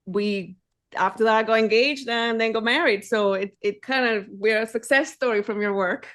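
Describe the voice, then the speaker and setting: A woman's voice: a little high, moderate pace, fairly bright tone. A woman with a Mexican accent, co-hosting a podcast and chatting casually. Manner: chatty, with fillers. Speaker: co-host. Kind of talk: casual interview chat.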